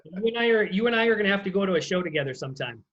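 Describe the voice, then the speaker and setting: A man's voice: high voice, animated, rapid-fire, dull audio. A man hosting a casual interview over a video call, talking in a conversational way. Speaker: interviewer, host.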